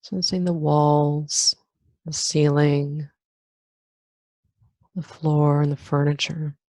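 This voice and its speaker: Calm lady doing guided meditation, real slow w long pauses, low voice. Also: no echo. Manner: calm, unhurried, with long pauses between phrases. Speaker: meditation guide, yoga nidra teacher. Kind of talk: guided meditation, body scan.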